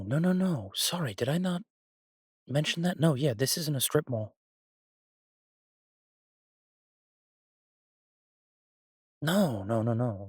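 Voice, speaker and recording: A man playing an earnest, easygoing chef in a roleplay audio drama, talking haltingly and reassuringly to a partner. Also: mid-range voice, darkish tone, slightly rough, no room sound, clean studio sound. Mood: apologetic, flustered. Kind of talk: roleplay audio drama, conversational monologue to listener. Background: ambient sound effects.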